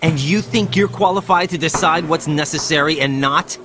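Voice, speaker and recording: An actor playing a young soldier in a video game, protesting in a defiant, tense way. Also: male voice, fairly high pitch, moderate pace, noisy background, natural voice. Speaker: game character. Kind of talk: video game cutscene voice acting. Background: dramatic music score.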